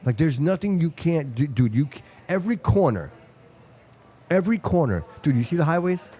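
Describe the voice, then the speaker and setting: A mellow, warm-voiced man hosts a casual live podcast. His first language is Portuguese, and he speaks English with it underneath. He stresses his points hard, circles back and repeats phrases, and keeps saying dude and bro. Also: on the high side, sing-song, normal speed, husky. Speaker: podcast host. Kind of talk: casual chat. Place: live show at a brewery venue.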